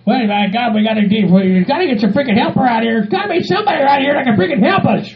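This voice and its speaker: A man's voice: extremely high pitch, expressive, fast talker, over a noisy crowd. A man playing an agitated, exasperated hillbilly with a Southern US accent, ranting repetitively in a comedy skit. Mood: bewildered. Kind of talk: improvised comedy skit in character voices. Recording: muffled lo-fi recording with faint music.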